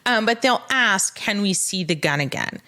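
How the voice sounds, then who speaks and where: A woman's voice: medium pitch, animated, normal speed, sharp tone. A woman co-hosting a podcast as the legal expert, explaining things in casual chat and acting out courtroom dialogue as she goes.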